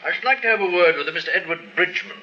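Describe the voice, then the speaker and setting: Guy doing a polite police inspector, sharp bright voice, lively, old radio sound. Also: high-pitched, very fast, slight background noise. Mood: formal. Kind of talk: 1940s radio acting. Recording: dull old radio broadcast.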